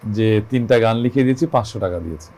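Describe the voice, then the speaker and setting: A man with a Bangladeshi accent interviewing conversationally as a show host, building his questions deliberately in stages. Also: on the low side, mellow.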